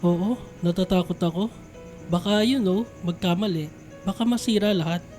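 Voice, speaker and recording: A man plays a lovestruck young Filipino man in a radio drama, earnest and anxious. His voice is high for a man and soft-edged, with faint music behind. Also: moderate pace, close to an everyday voice. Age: young adult.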